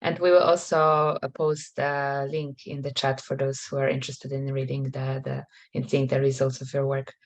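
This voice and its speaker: A woman's voice: rumbling low, little pitch movement, normal speed, hardly any pauses. A woman moderating a webinar over a video call with polite hosting remarks.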